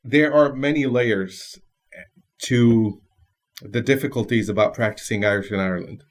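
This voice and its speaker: A man from Lebanon whose first language is Lebanese Arabic, speaking as a podcast guest in a thoughtful way with pauses and restarts. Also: medium pitch. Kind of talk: casual conversation.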